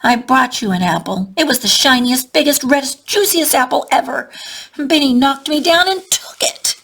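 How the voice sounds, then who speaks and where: A woman voicing a small, eager young male bug schoolboy in a storybook, with a high, excited voice. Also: moderate pace, creaky, slightly rough, full character voice. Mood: upset.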